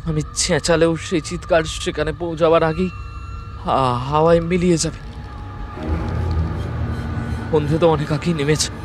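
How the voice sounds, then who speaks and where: A man plays a frightened captive in a horror audio drama. His voice is high for a man, and he stammers and repeats his words as he pleads. Faint music plays underneath. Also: steady tone, moderate pace, long pauses, natural voice. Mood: fearful bewilderment.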